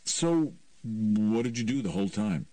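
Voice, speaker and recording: A man's voice: fairly low pitch, lively intonation, unhurried, dark tone, no echo, far from the mic, pitched above the performer's own voice, rough recording. A man voicing a thoughtful adult man who asks short, probing questions in an audiobook. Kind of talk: audiobook character dialogue.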